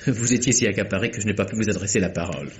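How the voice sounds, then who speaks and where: An actor playing a polished, formal young society nephew, suave but defensive, in a radio mystery drama style. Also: male voice, lowish voice, very fast, a little hiss or hum, lightly acted. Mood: polite, evasive. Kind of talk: 1950s French radio mystery acting.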